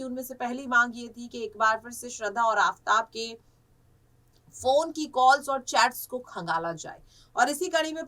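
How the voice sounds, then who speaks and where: A woman delivers news commentary in a high, sharp and forceful voice. Her phrasing is emphatic and repetitive, like a presenter working through a report. She sounds a little away from the microphone. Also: far from the mic.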